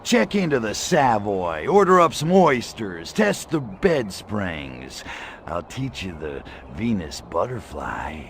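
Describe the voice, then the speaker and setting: A brash little alien invader from a video game, with a raspy, fried edge. He drawls slowly and swoops widely in pitch, tossing out slangy, lecherous wisecracks with total swagger. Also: sing-song, slight background noise, heavily acted. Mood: sleazy flirting. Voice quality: gravelly. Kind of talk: video game cutscene voice acting.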